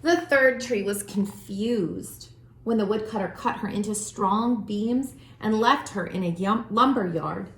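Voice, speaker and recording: A woman reading a children's storybook aloud as a storyteller, with expressive, deliberate phrasing. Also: mid-range voice. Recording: roomy, echoing room sound.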